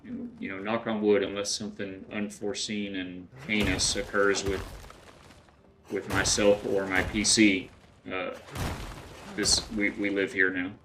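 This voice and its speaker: A man's voice: low voice, little pitch movement, unhurried, background noise. A middle-aged man from West Texas chatting casually while he plays a game, rambling and thinking aloud with lots of fillers and restarts. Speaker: game streamer. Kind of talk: casual gameplay chat with chat readers.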